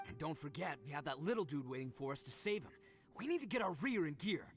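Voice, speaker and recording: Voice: mid-range voice, lively intonation, fast talker, faint music behind, close to an everyday voice. An actor voicing a brash, hearty young oni gang boss in a video game, boisterous, slangy and swaggering. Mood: rowdy, rallying.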